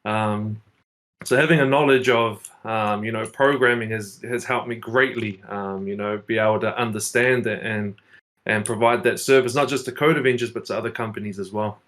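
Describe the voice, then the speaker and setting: A man's voice: on the low side, fairly even intonation, normal speed, a bit bright. Hesitant man with many fillers. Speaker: localization specialist. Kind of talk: casual panel chat.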